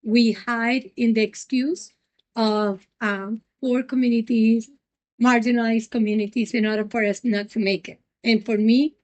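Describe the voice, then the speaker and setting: Middle-aged puerto rican-born woman, earnest, lots of ums, kinda high voice. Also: fairly even intonation, measured pace, a little mellow. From Puerto Rico. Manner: earnest, many ums and restarts. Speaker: nonprofit president, guest. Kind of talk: reflective interview answers.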